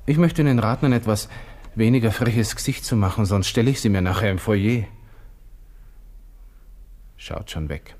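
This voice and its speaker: An actor playing a brash, bored young Viennese army lieutenant, musing snappishly in a radio drama interior monologue. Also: male voice, on the low side, normal speed, long pauses, darkish tone, natural voice. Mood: irritated, cocky. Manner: musing, snappish, self-important. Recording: music behind, 1960s radio studio recording.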